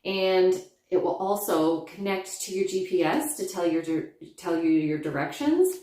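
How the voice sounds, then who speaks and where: A woman's voice: fairly low pitch, animated, a little slow, warm tone. A woman vlogger speaking in a chatty, enthusiastic way, casually reviewing motorcycle gear and narrating rides.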